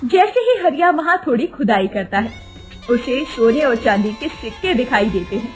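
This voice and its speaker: High, lively woman narrator. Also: normal speed, soft background music. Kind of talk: scripted storybook narration.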